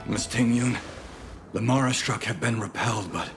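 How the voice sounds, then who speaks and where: A man's voice: medium pitch, normal speed, natural voice. A man voicing a dutiful soldier escort in a video game, formal and urgent. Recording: music under the voice.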